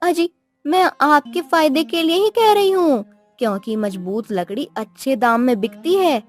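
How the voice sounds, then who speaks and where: A woman's voice: high voice, lively intonation, a little slow, soft background music, voice pushed up. A woman voicing a poor, wistful village wife who nags and pleads in a cartoon story. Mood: pleading. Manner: plaintive, pleading. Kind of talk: Hindi cartoon moral story voice acting.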